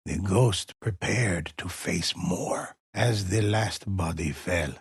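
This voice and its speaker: An actor playing a grave old male storyteller in a video game, narrating battles of feudal Japan in a measured, solemn, gravelly voice. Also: low-pitched, dry recording, studio quality, heavily acted. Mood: solemn, steady. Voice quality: husky, gravelly.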